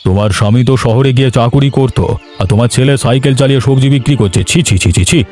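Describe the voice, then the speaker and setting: A man's voice: mid-range voice, very fast, a little mellow, lightly acted. A man voicing a mocking, lecherous village man in a Bengali cartoon, with a smug, sneering drawl. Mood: sneering mockery. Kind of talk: Bengali animated moral-tale voice acting. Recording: music bed behind the voice.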